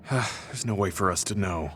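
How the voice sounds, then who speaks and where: A man playing a weary, guilt-ridden veteran soldier in a video game, speaking in a measured, serious way. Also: low-pitched, fairly bright tone, slight background noise. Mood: resigned. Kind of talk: English video game voice acting.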